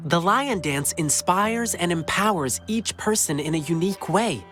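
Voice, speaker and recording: A woman's voice: on the low side, lively intonation, normal speed, natural voice. A woman voicing a gracious, sincere young performer in a video game dub, with music behind her. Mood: warm, encouraging.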